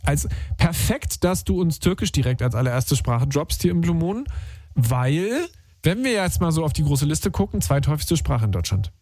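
A man's voice: on the high side, very animated, moderate pace, a little mellow, no echo, studio quality. A man hosting a radio phone-in, talking casually and chattily with youthful slang.

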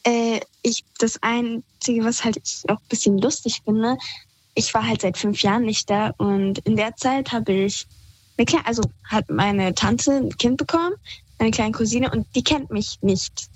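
A woman's voice: fairly high pitch, measured pace, dry recording, rough recording. A young woman from Iran calling in to a radio show, talking casually and hesitantly with fillers and easy laughs. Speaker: caller. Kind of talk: casual phone-in chat.

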